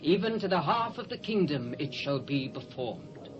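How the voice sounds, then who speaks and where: An imperious, generous British-accented king in a scripture audio drama. The voice is high and swoops regally across its range, on a dull old cassette. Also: big pitch swings, normal speed. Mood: magnanimous. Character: grand Persian king, imperious and lavishly generous.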